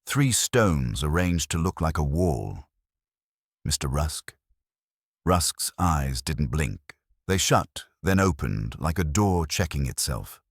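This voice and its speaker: A man's voice: a bass voice, sing-song, takes it slowly, no echo, studio quality. A man reading scripted detective fiction as an audiobook narrator.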